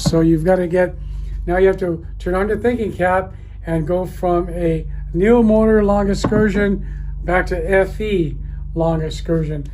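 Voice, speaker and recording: Mellow, higher-pitched middle-aged man, blunt. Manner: blunt, informal, occasionally swearing. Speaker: speaker manufacturer presenting a video. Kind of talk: casual off-the-cuff industry talk. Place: indoor audio room with wood-block wall. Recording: clearly noisy room ambience.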